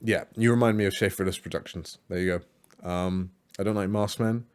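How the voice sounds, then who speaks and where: A man's voice: sits low, fairly even intonation, a little fast, clear, resonant tone. A man giving casual, rambling reaction commentary on other creators' videos, with many fillers and restarts.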